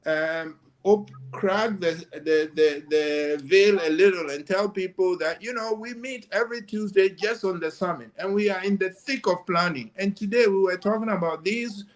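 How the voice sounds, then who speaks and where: An older man with a high voice, talking in a casual panel discussion. His comes out insistent and emphatic, and he sounds like he is on a video call. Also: moderate pace, few pauses. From Ghana.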